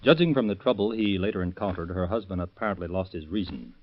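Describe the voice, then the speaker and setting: A man's voice: low voice, expressive, fast talker. A man reading a case epilogue as a police captain, formal and stilted, on 1930s radio. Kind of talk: stiff scripted read. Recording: muffled old 1930s radio recording.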